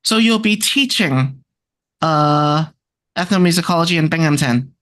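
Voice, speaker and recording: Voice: medium pitch, animated, measured pace, fairly bright tone. A speaker in an informal scholarly discussion, talking hesitantly and often searching for words. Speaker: textbook author and music researcher.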